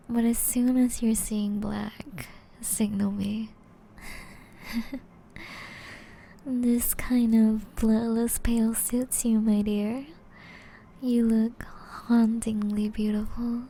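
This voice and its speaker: A woman playing a flirtatious, seductive female vampire in an intimate ASMR roleplay, breathy and often whispering, with slow teasing endearments. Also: fairly high pitch, level tone, mellow, slight background noise, full character voice. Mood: tender, teasing.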